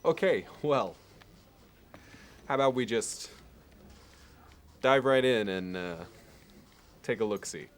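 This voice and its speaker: A man playing a polished, courteous and meticulous finance executive in an ASMR roleplay, speaking in a measured, halting and formal way. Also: mid-range voice, animated, slight background noise. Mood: polite, businesslike. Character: polished, courteous, obsessively appraising male Manhattan finance executive.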